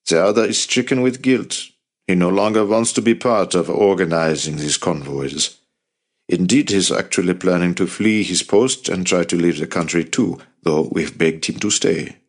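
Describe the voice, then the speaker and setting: A man narrating an audiobook plays a determined resistance fighter with a low voice. He is earnest and blunt, pressing his case with passion and persuasion. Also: moderate pace. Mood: blunt, confident.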